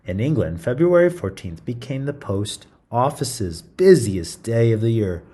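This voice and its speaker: Husky, very animated man reading aloud. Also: medium pitch, a little slow. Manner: theatrical, playful, interjecting comments to young listeners. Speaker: children's story reader. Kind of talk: animated read-aloud storytelling with playful asides.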